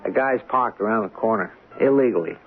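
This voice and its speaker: Blunt New beat cop. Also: male voice, on the low side, fairly quick, breathy edge. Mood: relaxed, griping. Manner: blunt, sardonic, streetwise. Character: tough, honest, plain-spoken city beat cop. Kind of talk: old-time radio drama acting. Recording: tinny, noisy radio broadcast recording.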